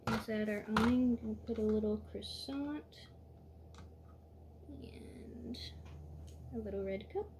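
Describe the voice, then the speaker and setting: A woman walking viewers step by step through a toy building set in a calm, instructive way, a little hoarse from losing her voice. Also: medium pitch, slow, long pauses, background noise.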